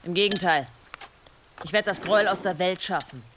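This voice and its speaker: A woman's voice: fairly low pitch, moderate pace, background noise, lightly acted. A woman playing a defiant, strong-willed village headwoman in a German audio drama, determined and intense. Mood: resolute.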